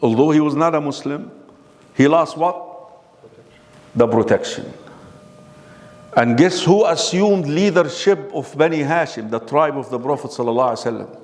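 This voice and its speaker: A man's voice: a little high, lively intonation, normal speed, long pauses, a little mellow. A man giving a classroom lecture on religion, constantly quizzing his audience with questions. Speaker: Islamic lecturer. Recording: long reverb of a hall.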